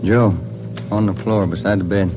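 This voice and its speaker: Casting: male actor as dutiful American detective, fairly low, polite and steady, muffled vintage radio crime drama. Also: moderate pace, loud music behind the voice, lightly acted. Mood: businesslike. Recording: dull, slightly noisy old radio recording.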